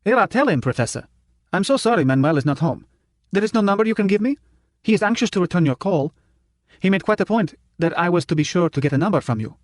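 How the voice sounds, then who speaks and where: A man's voice: high voice, expressive, fast, no room sound, voice pushed up. A man putting on a cooing, gracious mother. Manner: cooing, sweetly polite.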